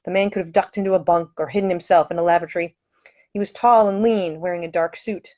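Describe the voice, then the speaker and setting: A woman's voice: lowish voice, fairly even intonation, quick pace, dark tone. A woman reading her own novel aloud as scripted audiobook narration.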